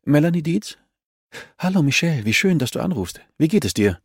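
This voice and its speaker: Man reading as a gentle, self-assured woman, raised pitch. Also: big pitch swings, brisk, slightly rough, dry recording, studio quality, full character voice. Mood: friendly, brisk. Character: composed, caring professional woman, gentle but assertive. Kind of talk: audiobook character dialogue.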